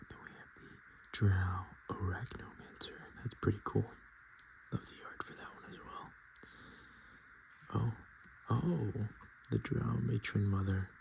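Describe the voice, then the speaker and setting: A man speaking softly and often whispering in a casual ASMR style, with hesitant, halting, murmured delivery. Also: on the low side, creaky, rough recording. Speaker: ASMR video host. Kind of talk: soft-spoken and whispered ASMR, casual commentary. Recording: noticeable background noise.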